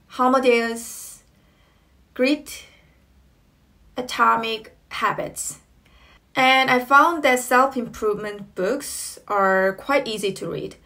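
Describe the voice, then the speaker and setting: A woman in her thirties, a native Korean speaker, talks straight to the camera from a small room at home. Her voice sits slightly high and she takes her time, with a friendly "you know" and the odd "um" along the way. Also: creaky, a bit bright. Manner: slow, careful, friendly with fillers like "you know" and "um". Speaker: English learning vlogger. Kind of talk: casual tips to camera.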